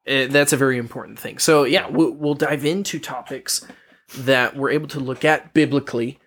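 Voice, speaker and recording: A man co-hosting a podcast, reading Bible verses and explaining them earnestly, with a measured pace and some restarts. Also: medium pitch, steady tone, a bit bright, a little hiss or hum. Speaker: podcast co-host.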